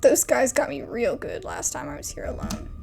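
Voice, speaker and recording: A woman's voice: a little high, huge pitch swings, normal speed, gravelly, darkish tone, in a whisper, exaggerated voice. A woman voicing a cheerful young ghost hunter with a teasing, breezy way of talking.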